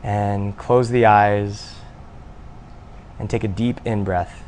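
A man guiding a breathing meditation, speaking in short, unhurried instructions with long pauses between them. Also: fairly low pitch. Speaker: meditation guide. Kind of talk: guided meditation, spoken instruction. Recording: faint steady background noise.

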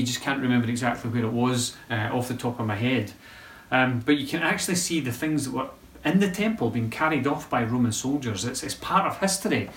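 A man preaching a sermon as pastor of a local church, conversational and halting, often restarting his sentences. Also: mid-range voice, a bit bright. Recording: echoey room from a distance.

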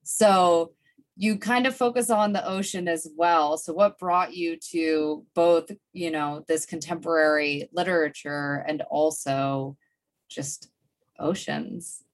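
A woman's voice: sits low, fairly even intonation, takes it slowly. A woman hosting an interview, talking enthusiastically with many "you know" fillers. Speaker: podcast host. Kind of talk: interview chat.

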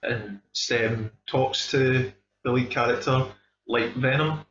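A man's voice: mid-range voice, steady tone, slow pace, a bit bright, slight background noise. A man with a Scottish accent chatting casually and enthusiastically as he reviews films, with plenty of emphasis. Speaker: film discussion podcast host. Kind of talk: casual film review chat. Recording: dull, echoey room recording.

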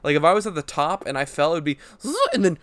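Animated, laughing young man. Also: a little high, quick pace, a little hiss or hum. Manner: animated storyteller, laughs often, acts out quotes. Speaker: podcast co-host. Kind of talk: casual chat among friends.

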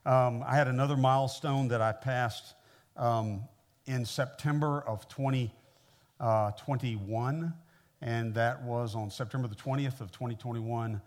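A man's voice: mid-range voice, fairly even intonation, unhurried. A man in his sixties from the American Midwest with an American accent, preaching conversationally as a visiting pastor in a folksy, personable way. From Midwestern United States (Missouri). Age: 60s. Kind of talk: conversational sermon to a congregation.

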